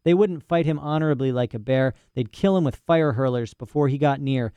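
A man's voice: mid-range voice, brisk, warm tone, clean tone, no echo, lo-fi sound, close to an everyday voice. A man voicing an indignant, rambling old male professor who is locked in a cell, ranting boastfully with "eh?" asides. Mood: dismissive. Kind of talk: audiobook character voice.

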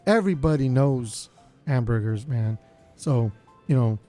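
A man hosting a college radio show, his voice slightly raspy, chatting casually with lots of fillers. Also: medium pitch, animated, unhurried, mellow, faint music behind. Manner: laid-back, lots of fillers. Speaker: radio DJ host.

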